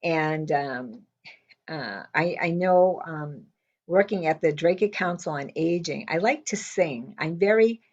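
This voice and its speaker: An older woman in semi-retirement telling a casual story with a loud, blunt way of talking, acting out what people said. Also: deep voice, takes it slowly, highs cut off. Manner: lively, blunt, quotes people in her stories. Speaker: interviewee.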